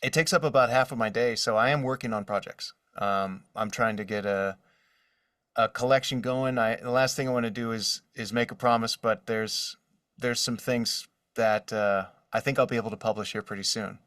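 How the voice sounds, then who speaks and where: A man's voice: mid-range voice, normal speed, no room sound, clean studio sound, distant mic. Enthusiastic man chatting, slightly off-mic. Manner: enthusiastic, expressive. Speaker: guest, voice actor and artist. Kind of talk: casual interview chat.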